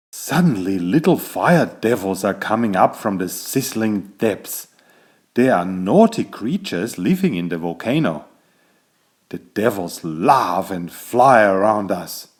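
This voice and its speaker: A man narrating a children's bedtime story in an expressive, deliberate way. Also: on the low side.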